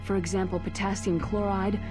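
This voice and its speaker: A woman playing a composed doctor who advises a soldier in a video game, speaking in a measured, clinical way. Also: medium pitch, level tone, close to an everyday voice. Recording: music behind the voice.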